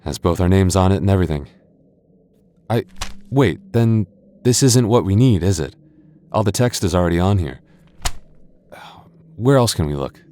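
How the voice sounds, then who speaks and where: Deep-voiced stammering boyfriend roleplay man. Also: expressive, moderate pace, pauses often, warm tone, a little hiss or hum. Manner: stammering, nervous restarts; playful, teasing.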